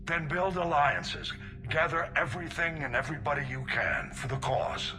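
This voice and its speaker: A man's voice: fairly high pitch, normal speed, music in the background, close to an everyday voice. An actor playing a resolute senior male military admiral in a video game, direct and commanding in his delivery. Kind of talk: video game character dialogue.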